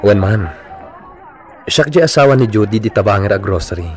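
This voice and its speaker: Actor as fairly deep-voiced husband, conciliatory, easygoing, placating, Ilocano accent, radio drama. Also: male voice, lively intonation, moderate pace, music in the background, natural voice. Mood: polite. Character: placating husband of a quarrelsome store owner.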